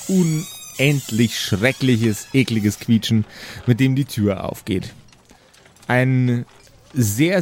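Spielleiter mit mittlerer Stimme, Hintergrundrauschen. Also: male voice, mid-range voice, darkish tone, a little hiss or hum. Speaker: game master. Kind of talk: improvised tabletop game narration.

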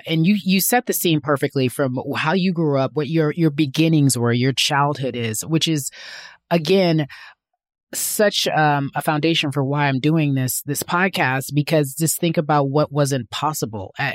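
A man's voice: fairly high pitch, unhurried, no room sound, studio quality. A man hosting a podcast, asking questions in an earnest, enthusiastic way. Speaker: podcast host. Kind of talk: interview questions.

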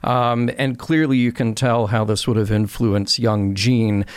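A man with a medium-pitched voice, a guest in a relaxed discussion. He speaks thoughtfully and articulately, with very little room sound. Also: measured pace, smooth, no echo, clean studio sound. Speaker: podcast guest. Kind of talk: casual discussion.

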